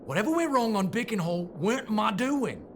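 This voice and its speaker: A man's voice: sits high, big pitch swings, fairly quick, background noise. A man playing a defensive, uneducated Victorian criminal henchman with a working-class English accent in an audio drama.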